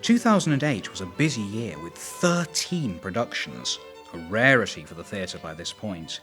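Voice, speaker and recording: A man's voice: lowish voice, big pitch swings, normal speed, a bit bright. A man reading scripted documentary narration, with wry asides. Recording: voice over background music.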